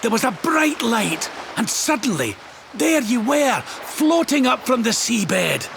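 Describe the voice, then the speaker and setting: A man playing a warm, excitable older companion in a game, telling things in a folksy, animated way. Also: very high-pitched, slow, gravelly, noisy background, exaggerated voice. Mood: excited, relieved. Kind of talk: scripted game cutscene acting. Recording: music behind the voice.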